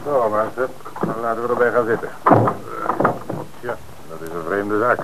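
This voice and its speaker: An actor playing an authoritative police commissioner in an old radio play. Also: male voice, fairly low pitch, moderate pace, heavy background noise, close to an everyday voice. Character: middle-aged male police commissioner in charge.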